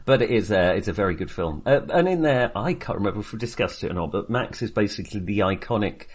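A man co-hosting a film podcast in casual discussion, speaking in a halting, wry and self-deprecating way. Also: fairly low pitch, lively intonation, breathy edge.

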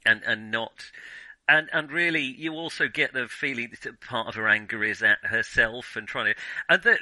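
A man in a casual film discussion, thinking aloud haltingly with many false starts. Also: medium pitch, bright, forceful tone, no echo, low-quality recording. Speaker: film podcast host.

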